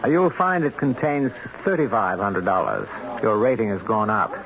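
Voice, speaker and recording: An actor playing a cool, businesslike middleman for contract killers in an old-time radio drama, speaking in a measured, detached way. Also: lowish voice, very animated, noisy background. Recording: dull old radio recording with music.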